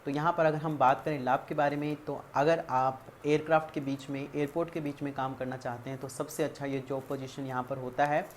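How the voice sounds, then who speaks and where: A woman's voice: rumbling low, level tone, fairly quick, few pauses. An Indian woman presenting a career guidance tutorial, explaining methodically point by point and mixing in English terms. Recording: clearly audible background ambient noise.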